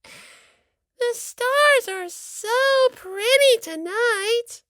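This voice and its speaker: A clumsy teenage nurse girl, speaks in an extremely high voice. She drags her words out very slowly, sweet and timid but pushy, in a close, dry sound. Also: lively intonation, sharp tone, no room sound, full character voice. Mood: dazed, woozy. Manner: sugary, coaxing, drawn-out delivery.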